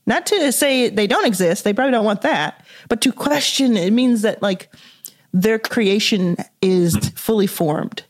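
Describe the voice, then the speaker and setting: A woman hosts a relaxed podcast conversation, working through her ideas out loud. Her voice sits in a middle register, and she leans often on "like" and "you know" as she searches for the next thought. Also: medium pitch, a little slow. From Dallas, Texas, US. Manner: thinking aloud, frequent "like" and "you know" fillers. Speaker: podcast host. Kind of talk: casual conversational interview.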